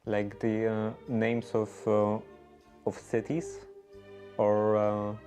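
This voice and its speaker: Slow, flat-voiced man. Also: on the low side, soft background music. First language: Polish. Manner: hesitant, searching for words. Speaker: livestream host. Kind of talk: casual livestream chat while knitting.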